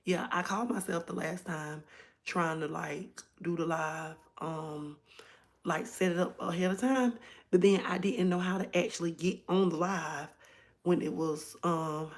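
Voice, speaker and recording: A hoarse woman hosting a casual livestream from home, chatting directly to her viewers. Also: fairly low pitch, unhurried. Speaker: livestream host.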